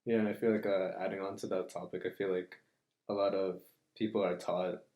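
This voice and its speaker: Deep-voiced man in an echoey room. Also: flat delivery, fairly quick, highs cut off. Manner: supportive, frequent affirmations. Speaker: podcast co-host. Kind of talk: casual reflective chat.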